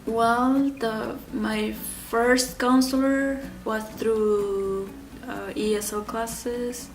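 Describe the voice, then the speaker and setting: A woman in her thirties from Ecuador telling her own story in an interview, speaking haltingly with many fillers and restarts. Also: fairly high pitch, steady tone, far from the mic, soft background music. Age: 30s. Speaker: interview guest.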